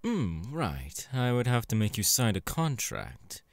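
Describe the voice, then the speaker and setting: Guy doing a leering seductive demon crime boss for asmr roleplay, drawly and unhurried, super dry close recording. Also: wildly swooping pitch, dry recording, heavily acted. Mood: leering, appraising. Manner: suave, drawling, leering, with low chuckles and pet names. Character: sleazy, seductive demon porn director and crime boss, male. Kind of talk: ASMR audio roleplay, in character.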